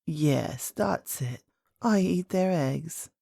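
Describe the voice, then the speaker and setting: Ancient storybook grandmother queen, played by a woman: low, unhurried, gentle, enigmatic, softly creaky. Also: no room sound, studio quality, exaggerated voice. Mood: patient, amused. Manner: calm, measured, kindly, enigmatic. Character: very old, gentle, mysterious great-great-grandmother queen. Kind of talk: storybook character voice.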